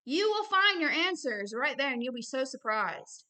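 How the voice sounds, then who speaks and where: A woman's voice: high-pitched, very animated, normal speed, sharp tone. A woman hosting informal religious commentary, reading aloud and adding personal asides in an earnest, insistent way, often repeating phrases for emphasis. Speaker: host and religious teacher.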